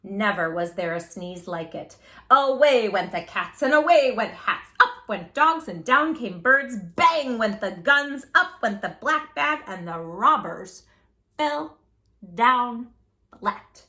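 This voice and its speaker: A woman reading a children's picture book aloud as a storytime host, theatrically, with drawn-out words and little asides to the listener. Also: on the high side, expressive, pushed and bright.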